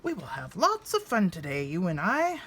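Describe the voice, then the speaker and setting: A woman's voice: on the low side, wildly swooping pitch, moderate pace, a bit bright, a little hiss or hum. A woman voicing a jolly, boastful old grandfather bear in a children's storybook, playful and blustering. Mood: playful boasting.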